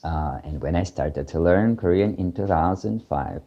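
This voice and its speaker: A man's voice: sits low, normal speed, warm tone, dull audio. A man from Ukraine, teaching a casual video lesson, hesitant, with many fillers and restarts. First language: Russian. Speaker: language teacher.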